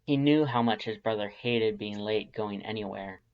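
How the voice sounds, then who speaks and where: A man's voice: fairly low pitch, moderate pace, muffled recording. A man reading scripted fan fiction narration as a storyteller.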